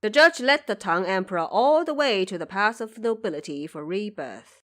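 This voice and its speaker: A woman giving scripted storytelling narration for an audio drama, with expressive, careful phrasing. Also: mid-range voice, normal speed.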